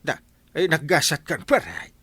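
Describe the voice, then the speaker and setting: Excitable barangay sidekick played by a man, Ilocano accent, animated higher voice, radio comedy. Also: normal speed, husky, dry recording, full character voice. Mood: amazed.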